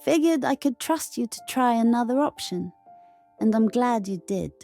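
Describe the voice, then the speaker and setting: A woman playing a gentle, remorseful young fighter-plane spirit in an audio drama, speaking softly, earnestly and haltingly. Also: fairly high pitch, animated, creaky, faint music behind.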